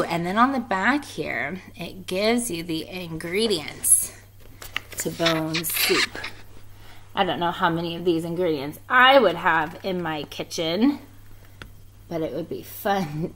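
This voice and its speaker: A woman's voice: on the low side, slow pace, noisy background. A woman reading aloud to children and explaining informally, like a teacher.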